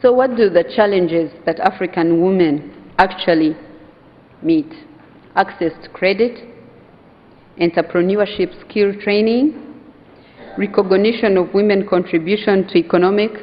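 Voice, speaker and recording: A woman from Uganda with a Ugandan accent giving an earnest, emphatic conference speech, slowing down to stress key phrases. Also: medium pitch. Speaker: conference speaker, hotel owners' association vice chair. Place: conference hall. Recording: dull sound in a reverberant hall.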